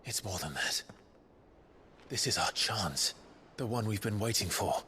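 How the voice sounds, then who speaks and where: An actor playing a brooding, determined rebel leader in a video game, solemn and measured. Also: male voice, fairly low pitch, animated, sharp tone, slight background noise. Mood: grave resolve. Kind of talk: dramatic video game cutscene acting. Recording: cinematic music behind the voice.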